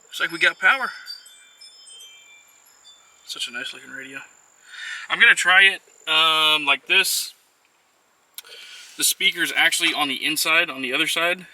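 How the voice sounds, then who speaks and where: A man's voice: fairly high pitch, a little slow, long pauses, pushed and bright. A man giving a casual, unscripted how-to walkthrough as a vlogger, talking in an offhand, hesitant way with trailing phrases. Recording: noisy on-location vlog audio.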